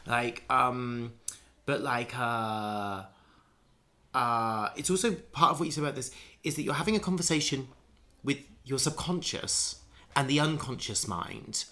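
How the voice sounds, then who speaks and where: A man's voice: mid-range voice, unhurried, pushed and bright. A man in casual conversation, musing at length with many restarts and fillers. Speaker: conversation partner.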